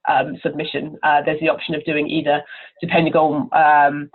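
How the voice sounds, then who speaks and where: A woman's voice: low voice, monotone, moderate pace. A woman giving informal, encouraging interview answers as a conference chair over the telephone. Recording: narrow, tinny telephone line.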